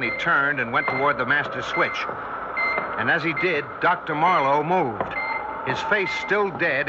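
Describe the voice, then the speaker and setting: Actor as haunted scientist in dull radio drama. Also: male voice, medium pitch, heavy background noise, close to an everyday voice. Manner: tense, deliberate, dramatic pauses. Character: haunted younger male scientist recounting a terrifying memory. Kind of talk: 1960s radio drama acting, first-person narration. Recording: dull 1960s radio recording, loud dramatic music.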